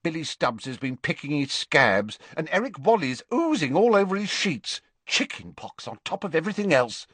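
Man as a harassed, high-pitched orphanage matron. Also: sing-song, moderate pace, hardly any pauses, a bit bright, slightly rough, dry recording, full character voice. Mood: harried. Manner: flustered, blunt. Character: anxious, harassed skinny orphanage matron, a woman.

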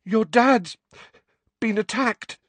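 A man's voice: high-pitched, slow pace, dry recording, voice pushed up. A man voicing a panicked teenage wizard boy in an audiobook, breathless and urgently insistent. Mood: panting, desperate. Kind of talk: audiobook character acting.

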